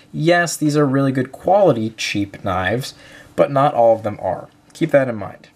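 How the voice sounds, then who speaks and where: Young guy w a head cold, kinda nasal, lowish voice, rambly casual knife review. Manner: relaxed, rambling, frequent uh and um fillers. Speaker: host of a knife review channel.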